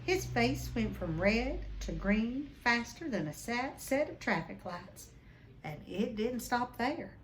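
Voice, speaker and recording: A woman reading a children's novel aloud as an expressive storyteller. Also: on the high side, takes it slowly, a little hiss or hum.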